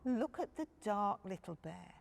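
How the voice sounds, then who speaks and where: A woman's voice: mid-range voice, big pitch swings, a little slow, gravelly, darkish tone, long reverb tail, heavily acted. Woman voicing a patient, caring big papa bear. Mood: gentle, coaxing. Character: big grown-up male bear, patient, caring, gently puzzled carer.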